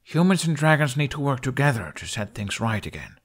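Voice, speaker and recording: An earnest, determined young Viking man, voiced by a male narrator, speaks in audiobook storytelling. His pitch is lifted and his intonation lively. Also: fairly quick. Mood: resolute.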